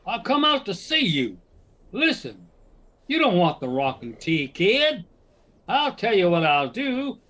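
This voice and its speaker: A man's voice: high-pitched, sing-song, unhurried, sharp tone, exaggerated voice. A man playing a nervous, scheming townsman in a western audio drama, urging and wheedling in an American Western drawl. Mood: urgent persuasion. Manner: agitated, emphatic frontier drawl.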